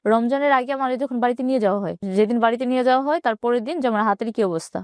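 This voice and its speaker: Interview guest: Bangladeshi woman, twenties, slightly high voice, rapid plain storytelling. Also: dry recording, studio quality. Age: 20s. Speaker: interview guest telling own life story.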